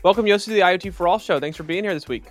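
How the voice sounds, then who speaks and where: Man, podcast host, quick delivery, upbeat, dry close mic, faint music. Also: high voice. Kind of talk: podcast hosting and sponsor read.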